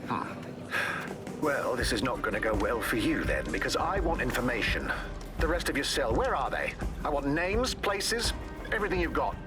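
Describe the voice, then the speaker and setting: Man as a menacing British interrogator, animated delivery. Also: mid-range voice, normal speed, close to an everyday voice. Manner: mocking, threatening. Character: menacing, taunting male interrogator. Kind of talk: video game voice acting. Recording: loud music behind the voice.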